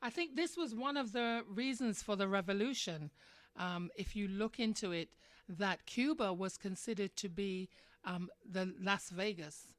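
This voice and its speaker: A woman's voice: medium pitch, measured pace. A woman speaks as the host of a live radio talk show. Her mid-range voice is conversational and opinionated, often turning to listeners with questions. The sound is dry, with very little room echo.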